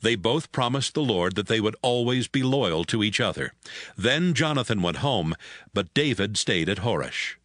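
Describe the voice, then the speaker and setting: A man's voice: fairly low pitch, animated, moderate pace, a bit bright, dry recording. A man giving scripted narration of a Bible story as the narrator.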